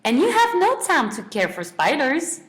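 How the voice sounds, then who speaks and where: Young female student, slightly high pitch, semi-prepared interview guest delivery. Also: wildly swooping pitch, normal speed. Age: young adult.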